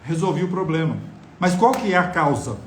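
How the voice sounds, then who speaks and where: A man speaking in a fairly high voice gives an earnest health lecture over a live stream and keeps putting rhetorical questions to his viewers. His voice rings around a bare, echoey room, with a faint hiss underneath, picked up by a phone. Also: lively intonation, brisk. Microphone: phone on mobile data.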